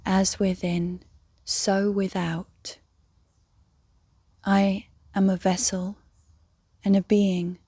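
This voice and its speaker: A woman leading a guided meditation in a soft, breathy, near-whispered voice, gently and soothingly, with long pauses. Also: medium pitch, no echo, clean studio sound. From England. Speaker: meditation guide, yoga teacher.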